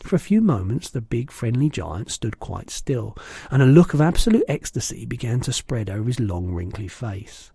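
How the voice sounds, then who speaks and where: Mellow, husky man reading a children's story. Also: mid-range voice, big pitch swings, normal speed, no room sound, studio quality. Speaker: storybook reader. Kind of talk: expressive children's story narration.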